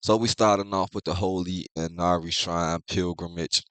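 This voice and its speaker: Congested deep-voiced young man. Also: steady tone, normal speed, fairly bright tone, clean tone, no room sound. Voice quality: congested, thick-sounding. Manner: laid-back slangy talk, heavy profanity. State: congested, clearing his throat. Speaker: manga review host. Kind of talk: casual chat.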